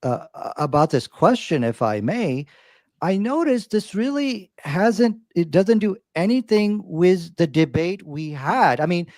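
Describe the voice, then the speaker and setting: A man answers questions in a live debate with a dismissive, chuckling manner. His voice is high for a man. The recording is dry with no room echo. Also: expressive, a little slow, darkish tone.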